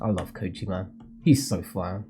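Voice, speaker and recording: A man hosting a manga read-along, giving slangy, emphatic and expressive fan commentary. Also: sits low, moderate pace, soft background music.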